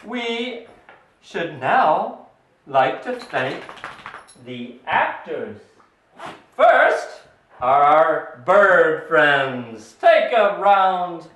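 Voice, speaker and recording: A playful announcer hosts a children's puppet show with plenty of exclamations and coaxing. The delivery is slow and swoops up and down. The recording is distant and echoey. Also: medium pitch, sing-song, fairly bright tone. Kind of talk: playful announcing to a small family audience. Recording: distant echoey home recording, faint noise.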